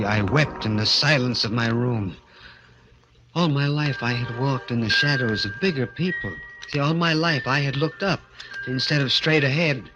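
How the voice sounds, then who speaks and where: An actor playing a bitter, anguished vaudeville little person in a 1940s radio drama, intense and halting. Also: male voice, medium pitch, close to an everyday voice, rough recording.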